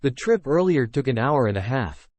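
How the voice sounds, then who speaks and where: A man's voice: mid-range voice, big pitch swings, moderate pace, a little mellow, no echo. A man reading scripted first-person story narration. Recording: music bed under the voice. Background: music.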